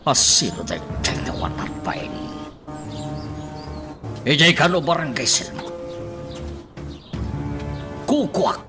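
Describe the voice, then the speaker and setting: A man's voice: a little high, sing-song, takes it slowly, long pauses. A man with an Ilocano accent playing a wary man on a mysterious phone call in a radio drama. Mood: alarmed. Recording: loud background music.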